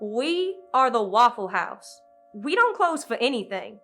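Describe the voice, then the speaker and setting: A woman's voice: on the high side, sing-song, measured pace, bright, forceful tone, faint music behind, full character voice. A woman playing a commanding, no-nonsense diner worker in a farcical radio play. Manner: commanding, emphatic. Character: bossy, no-nonsense Southern diner worker, armed and defiant.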